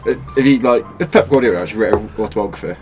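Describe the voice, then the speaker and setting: Low-voiced man arguing football, faint music. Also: fast, darkish tone. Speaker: podcast co-host. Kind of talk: casual football debate.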